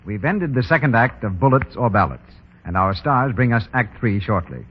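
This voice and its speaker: A man's voice: mid-range voice, very animated, moderate pace. A man announcing and reading scripted advertising in 1930s radio style. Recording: muffled, noisy 1930s radio recording.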